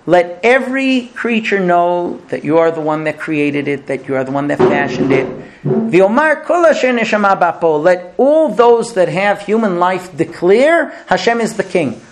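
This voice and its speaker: Animated rabbi giving a sermon. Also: male voice, high voice, normal speed. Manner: storytelling, rhetorical questions, repeats words. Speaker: rabbi teacher.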